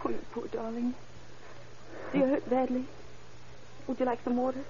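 A woman's voice: sits high, level tone, normal speed, dark tone. An actress playing a frantic, tearful young woman grieving her wounded sweetheart in an old radio drama. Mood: tender, anguished. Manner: breathless, tearful, melodramatic. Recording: muffled, noisy old radio recording.

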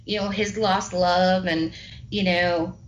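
A woman with a high-pitched voice hosting a casual podcast chat, talking enthusiastically and rambling with many fillers. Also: steady tone, slow, dull audio, slight background noise. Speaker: podcast host.

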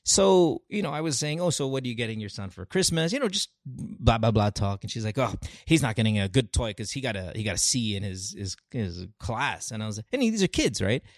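An animated podcast host chatting casually and swearing freely. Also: mid-range voice, brisk, hardly any pauses, dry recording, studio quality. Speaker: talk show host.

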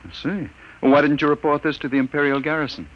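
An actor playing a gentle, earnest archaeologist from off-world in an old radio drama. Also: male voice, a little high, fairly even intonation, fast talker, dull audio, lightly acted. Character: calm off-world male archaeologist. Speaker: archaeologist narrator. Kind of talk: 1950s radio drama acting. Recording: old radio broadcast with noise.

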